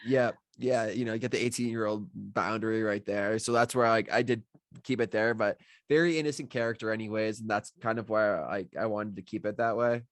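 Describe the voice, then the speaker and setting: A man's voice: fairly low pitch, steady tone, brisk, no room sound, studio quality. A man talking casually on a video call as a writer discussing his work, rambling and cutting himself off. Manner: informal, rambling, self-interrupting. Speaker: writer of the script being discussed. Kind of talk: casual chat.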